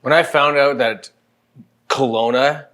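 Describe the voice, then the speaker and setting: A man chatting casually and excitably as a co-host, often repeating himself. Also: mid-range voice, a little slow. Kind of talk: casual chat.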